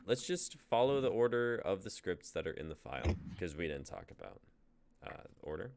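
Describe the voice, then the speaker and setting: A man's voice: low voice, very animated, moderate pace, creaky, darkish tone, no room sound. A young man hosting a group livestream in a casual, jokey, informal way. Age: young adult. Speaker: host of a group streaming show. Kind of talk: casual livestream intro banter.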